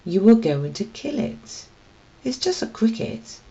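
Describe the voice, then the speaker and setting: A woman's voice: a little high, big pitch swings, a little slow, warm tone, dull audio, voice pushed up. Woman reading as a commanding old tomcat mentor. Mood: firm, teaching. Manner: emphatic, instructive. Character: commanding old male cat mentor, stern and wise. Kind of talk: children's storybook read aloud.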